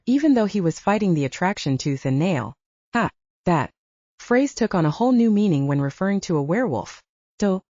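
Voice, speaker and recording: A woman's voice: on the low side, mellow, dry recording, lo-fi sound. A woman narrating an audiobook, reading scripted romance fiction.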